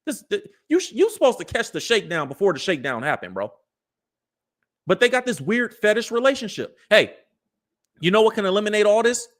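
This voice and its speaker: A man's voice: sits high, sing-song, a little fast, fairly bright tone. A man in his thirties, hosting a commentary show, lecturing his listeners in a preachy, slang-filled way. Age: 30s. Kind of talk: animated live commentary.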